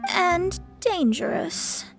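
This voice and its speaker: Haughty young lady astrologist, high voice, formal diction, easily flustered, anime game, music behind. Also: lively intonation, drawn-out words, vocal fry, heavily acted.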